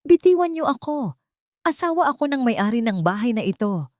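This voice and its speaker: A woman voicing a greedy, scheming stepmother who shouts in shrill, venomous bursts in an audio drama. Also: sing-song, moderate pace, no echo, studio quality. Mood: frantic.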